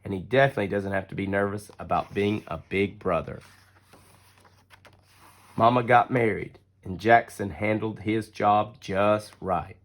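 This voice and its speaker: A man reading a children's picture book aloud as an expressive, deliberate storyteller. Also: lowish voice. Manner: deliberate storytelling with acted-out dialogue. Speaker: story reader.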